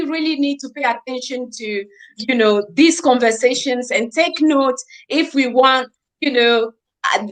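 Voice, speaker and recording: A woman with a high-pitched voice hosts a livestream discussion. She lectures her audience earnestly, circling back to the same points and mimicking what people say. She is heard over a video call. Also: low-quality recording. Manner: preachy, repeats points, quotes people in mock voices. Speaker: livestream host. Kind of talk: earnest advice to viewers.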